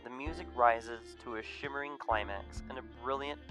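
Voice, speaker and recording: A man reading a visual novel aloud as expressive first-person story narration. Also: medium pitch, moderate pace. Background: music.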